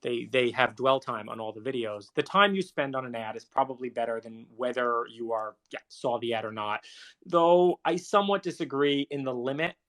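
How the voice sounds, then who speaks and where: Mid-pitched man in casual technical discussion. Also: normal speed, a bit bright.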